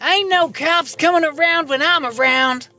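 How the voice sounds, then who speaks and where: A woman's voice: sits high, moderate pace, pushed and bright, faint music behind. A woman voicing a brash, defiant carnival youngster in an audio horror drama, full of slangy talk.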